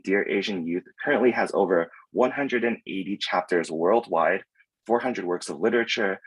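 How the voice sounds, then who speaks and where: A man's voice: on the low side, breathy edge, no room sound, poor audio. A man moderating an online event over a video call, reading speaker introductions from a script with some fillers.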